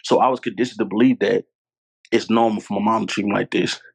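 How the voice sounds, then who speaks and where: A man's voice: on the low side, steady tone, fast, vocal fry, husky. A man, a podcast guest talking candidly and earnestly, repeating his points for emphasis. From Louisiana, US. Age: 20s. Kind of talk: candid conversational storytelling.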